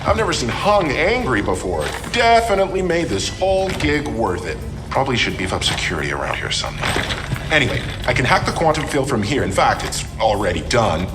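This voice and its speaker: An actor playing a cocky, shifty hacker and fixer in a video game, slangy, irreverent and wisecracking. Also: male voice, mid-range voice, sing-song, a little fast, over music, natural voice. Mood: sly, amused. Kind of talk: video game cutscene acting.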